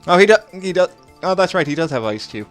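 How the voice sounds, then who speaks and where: A man's voice: on the high side, animated, quick pace, soft background music. A thirty-year-old man hosting a casual let's play, commenting on the game and often chuckling between remarks. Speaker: gameplay video host.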